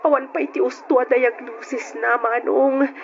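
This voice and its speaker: High-voiced, worried young aunt. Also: female voice, level tone, normal speed, vocal fry. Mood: grave, worried. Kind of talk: old Ilocano radio drama acting. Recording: noisy, lo-fi old radio recording.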